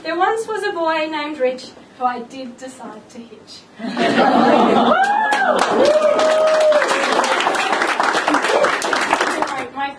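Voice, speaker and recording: A high-voiced woman with a slightly croaky voice reads her engagement speech and a little rhyming poem, nervous and giggly. She sits far from the mic in an echoey room, and loud party noise fills the space around her. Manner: nervous, giggly, self-deprecating. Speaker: bride-to-be giving engagement speech.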